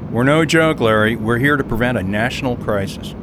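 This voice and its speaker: A stern government agent, acted by a man in a radio drama, with a medium-pitched voice. He states things flatly and without humor. Clear rumbling street noise runs behind him. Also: brisk, lightly acted. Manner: clipped, humorless, deductive. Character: stern, matter-of-fact male government agent profiler. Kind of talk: audio drama acting.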